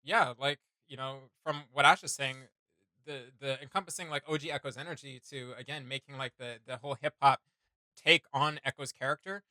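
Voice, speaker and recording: A man's voice: medium pitch, fairly even intonation, moderate pace, pushed and bright, no echo. A man hosting a reaction video with casual, chatty commentary. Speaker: reaction channel host.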